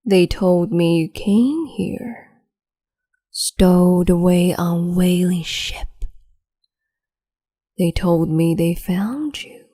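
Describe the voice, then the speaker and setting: A woman voicing a cruel, capricious empress in an ASMR roleplay, speaking slowly and mockingly and slipping into whispers. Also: lowish voice, a little mellow, slightly rough, dry recording, clean studio sound. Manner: slow, mocking, condescending, drifts into whispers.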